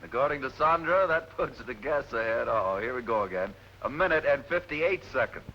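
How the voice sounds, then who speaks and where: A man's voice: mid-range voice, lively intonation, a little fast, highs cut off, slight background noise. A man hosting a charade game show with joking, chatty banter, stretching out names, on an old 1950s television soundtrack. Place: television studio.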